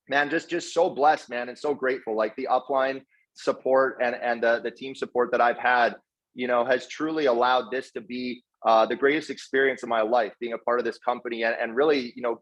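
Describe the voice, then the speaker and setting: A man giving an informal motivational talk in an earnest, slangy way, often doubling back on his words. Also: medium pitch. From Canada, partly raised in South Africa. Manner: earnest, effusively grateful, slangy bro-talk with repeated words. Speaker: guest speaker, network marketer. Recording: dull, lo-fi online call audio.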